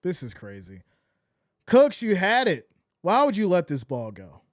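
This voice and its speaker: Hoarse male fan commentator, high-ish voice, close dry mic, emotional live play calls. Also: unhurried, no echo. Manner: excitable, swings from shouting to deflated mutters. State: losing his voice. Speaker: streamer doing play-by-play.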